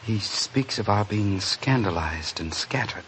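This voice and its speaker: A gentle, worried disciple in a biblical radio drama. The voice is very deep, hushed and grave. The old recording carries slight background noise. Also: male voice, lively intonation, measured pace, rough recording. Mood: troubled. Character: gentle, anxious, questioning male disciple of Jesus. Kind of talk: religious radio drama acting.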